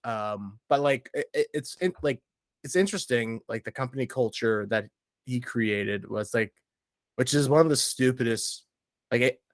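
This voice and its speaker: A man's voice: mid-range voice, normal speed, no room sound, clean studio sound. A man in a casual, slangy podcast chat, rambling and blunt, with lots of filler words. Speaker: podcast guest reviewing a TV show.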